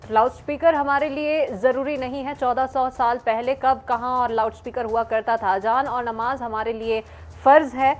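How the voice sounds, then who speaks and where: Female news anchor, slightly high voice, live bulletin presenting style. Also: steady tone, normal speed, few pauses, faint music behind.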